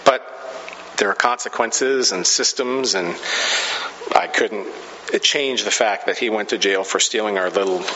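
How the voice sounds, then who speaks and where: A man's voice: lowish voice, steady tone, a little slow, sharp tone, slight background noise. A male pastor from the Pacific Northwest telling a story sermon, chatty and self-deprecating, laughing at his own stories. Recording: dull sound with long hall reverb.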